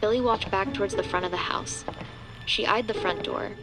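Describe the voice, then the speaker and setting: A woman's voice: medium pitch, normal speed, natural voice, low-quality recording. A woman reading scripted narration for a horror audio drama. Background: background music.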